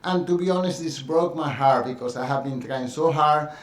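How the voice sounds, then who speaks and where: A man's voice: fairly high pitch, moderate pace, echoey room. Earnest man with a Spanish accent. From Colombia. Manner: earnest, reflective, with frequent fillers and restarts. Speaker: dance teacher sharing his experience. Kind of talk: personal monologue.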